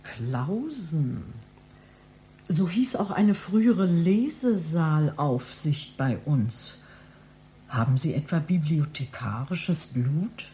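An actress playing a self-important, touchy library clerk in a satirical radio play, speaking in a pedantic, boastful and wordy way. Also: female voice, sits low, animated, slow, warm tone, a little hiss or hum. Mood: brisk, self-important.

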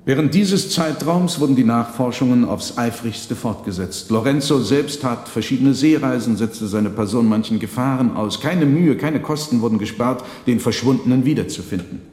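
Radio drama: man playing an eloquent trickster conjurer, deliberate, formal storytelling, set in a reverberant echoing space. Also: medium pitch, animated, darkish tone, close to an everyday voice. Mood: solemn, dramatic. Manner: measured, dramatic, formal diction. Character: theatrical trickster conjurer recounting his tale, deliberate and eloquent. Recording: echoey reverberant hall sound.

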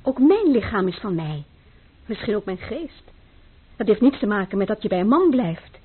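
Expressive, husky, self-possessed young woman, muffled recording. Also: medium pitch, moderate pace. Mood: firm. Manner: assertive, precise. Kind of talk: radio play acting. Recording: muffled, phone-like old radio recording.

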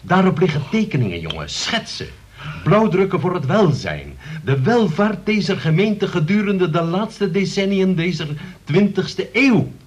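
An actor in a Dutch radio play playing a pompous, long-winded middle-aged uncle who moralizes about politics. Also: male voice, high-pitched, big pitch swings, unhurried, breathy edge, exaggerated voice. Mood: lecturing.

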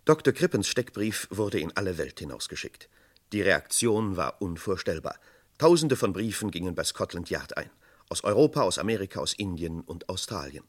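An actor as the narrator of a German radio crime play, telling a suspenseful story in formal scripted style. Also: male voice, low voice, sing-song, moderate pace. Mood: measured, suspenseful.

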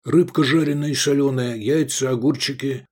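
A man's voice: medium pitch, steady tone, moderate pace, darkish tone, slightly rough, pitched above the performer's own voice, natural voice. A man voicing an obsequious little tavern keeper in an audiobook, gushing and eager to please. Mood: fawning, eager. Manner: fawning, gushing salesman patter.

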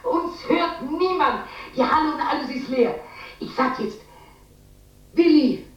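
A woman's voice: high-pitched, a little slow, far from the mic. An actress playing a lonely, coaxing older mother in a radio play. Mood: wistful. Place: large echoing empty hall. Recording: muffled, very echoey, noisy radio recording.

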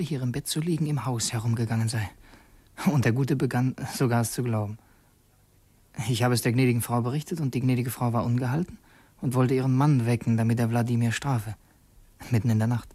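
An actor playing a secretive, brooding would-be assassin in a radio drama, intense and introspective, as if confessing to himself. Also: male voice, medium pitch, dark tone, husky, no echo, natural voice. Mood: hushed, reflective. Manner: intense, introspective, halting self-questioning. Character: brooding young male conspirator and would-be assassin, torn and secretive.

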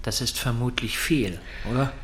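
An actor playing a masked male kidnapper in a radio drama, calm, terse and probing. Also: medium pitch, normal speed, lightly acted. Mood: cool, probing. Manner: controlled, questioning, terse. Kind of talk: German radio play acting.

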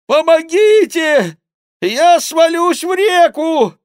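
A fearful, aggrieved monk from an audiobook tale, crying out in a very high, strained voice. He whines and exclaims, a clumsy rider pleading loudly, with pitch that swoops about. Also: expressive, slow, dry recording, clean studio sound, exaggerated voice. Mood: desperate wailing. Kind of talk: audiobook character voice.

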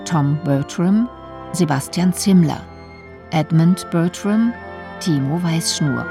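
A woman's voice: deep voice, vocal fry. A woman formally reading out credits as the announcer of a radio play.

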